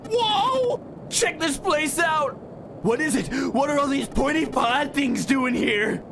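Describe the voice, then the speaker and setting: Woman as an excitable, high-pitched schoolkid. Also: takes it slowly, background noise, full character voice. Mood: amazed, excited. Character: excitable, awestruck young schoolkid. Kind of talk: scripted fan dub acting. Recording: music behind the voice.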